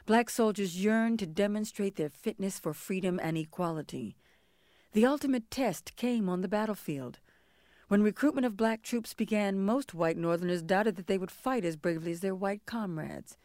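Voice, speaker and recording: A woman's voice: medium pitch, normal speed, darkish tone, dry recording, clean studio sound. A woman narrator delivering scripted historical documentary narration.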